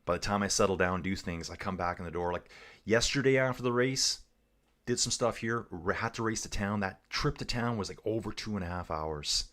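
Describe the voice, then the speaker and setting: A man giving relaxed livestream cycling commentary, reading viewers' chat aloud and calling them guys and dude. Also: fairly low pitch, brisk. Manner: chatty, says "guys" and "dude" often. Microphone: earbuds.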